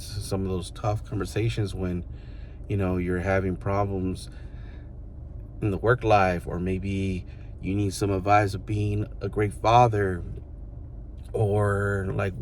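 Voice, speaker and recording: Deep-voiced man in his thirties, rambling casually. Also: darkish tone, a little hiss or hum. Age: 30s. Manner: rambling, loose, full of fillers such as "you know" and "like". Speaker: vlogger. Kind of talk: casual reflective monologue to the camera.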